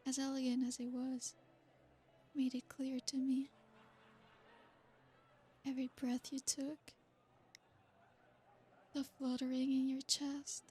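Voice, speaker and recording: A woman voicing an ancient, aristocratic female vampire in an ASMR roleplay. She speaks in a soft, formal, flattering way. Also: sits high, husky, full character voice. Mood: predatory.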